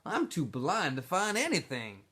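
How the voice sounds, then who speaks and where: Man voicing a pushy, high-pitched teenage boy. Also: huge pitch swings, measured pace, sharp tone, slightly rough, full character voice. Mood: wheedling, self-justifying. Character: pushy teenage boy, small self-assured group leader, wheedling.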